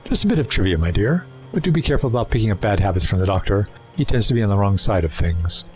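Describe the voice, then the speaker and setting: An actor in an audio drama playing a suave, erudite renegade Time Lord villain, wordy, condescending and persuasive. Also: male voice, lowish voice, expressive, fast talker, a little mellow, soft background music, natural voice. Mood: teasing, patronising. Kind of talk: full-cast audio drama acting.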